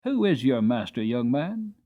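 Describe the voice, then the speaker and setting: A man voicing a kindly, folksy old male stable hostler who speaks low and musing, in a slightly dull recording. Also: very animated, measured pace.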